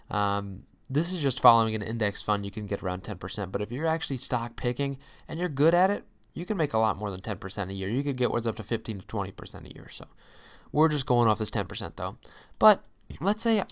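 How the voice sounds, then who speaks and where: Clear-voiced emphatic young man explaining. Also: mid-range voice. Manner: emphatic, folksy exclamations, rhetorical questions. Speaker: host of an investing channel. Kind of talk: informal explainer, walking through a calculator.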